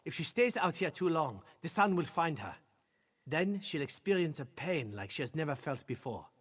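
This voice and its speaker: Male game vampire: husky voice, guarded and curt, grimly urgent. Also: on the high side, very animated, moderate pace, fairly bright tone, full character voice. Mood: grim warning. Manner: blunt, ominous. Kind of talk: video game dialogue.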